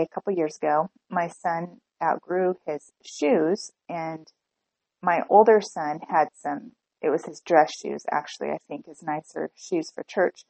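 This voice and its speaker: Gravelly-voiced woman with a cold. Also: fairly low pitch, darkish tone, no echo, poor audio. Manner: earnest, chatty, with restarts and ums. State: has a cold. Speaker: podcast host. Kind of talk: solo podcast talk.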